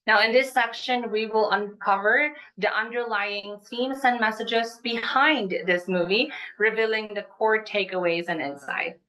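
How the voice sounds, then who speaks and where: A woman's voice: medium pitch, a bit bright, poor audio. A young woman moderating a class presentation, speaking in formal, scripted transitions.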